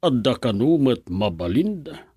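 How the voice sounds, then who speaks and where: A man's voice: mid-range voice, big pitch swings, measured pace, dark tone, slightly rough, no room sound, clean studio sound. An actor with a northern Philippine accent playing a strict, fretful middle-aged father in a radio drama, grumbling and exclamatory. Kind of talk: Ilocano radio drama acting.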